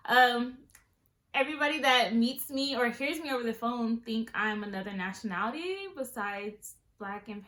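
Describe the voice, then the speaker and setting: A woman's voice: fairly high pitch, sharp tone, reverberant. A woman in her twenties from Southern California, chatting casually and sassily to her vlog viewers. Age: 20s. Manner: chatty, sassy, playful asides. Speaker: beauty vlogger. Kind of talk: casual chat.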